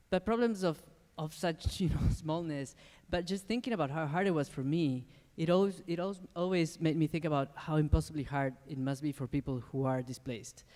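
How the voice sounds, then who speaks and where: Male panelist from Ecuador, slightly higher voice, reflective talk, hall echo. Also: a little mellow. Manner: thoughtful, many restarts and laughs. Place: conference hall. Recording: long reverb of a large hall.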